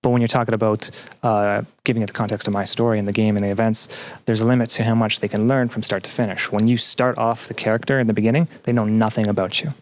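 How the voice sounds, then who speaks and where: A man with a somewhat low voice talks as an interview guest. He explains things conversationally, with a hesitant delivery, pausing often as he looks for the right word. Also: level tone. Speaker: podcast guest, student game developer.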